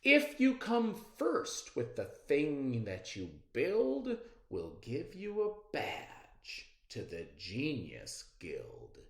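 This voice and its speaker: A man's voice: mid-range voice, huge pitch swings, very slow, gravelly, fairly bright tone, reverberant, heavily acted. A man voicing a pompous, theatrical convention host from a children's storybook, welcoming young inventors in grand style. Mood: grand welcome.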